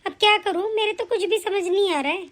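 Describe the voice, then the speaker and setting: A soft-hearted old grandmother who frets and speaks gently and slowly to her family, as a woman acts her out. Her voice sits high and a little strained. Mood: fretful. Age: older. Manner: gentle, fretful. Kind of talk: comic family skit acting.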